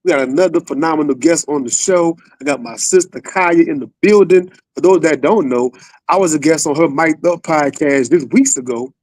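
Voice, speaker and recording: A man's voice: fairly high pitch, normal speed, a little mellow, dry recording, poor audio. A man hosting a podcast in a casual, slangy interview style with familiar, hype-man phrasing. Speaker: podcast host.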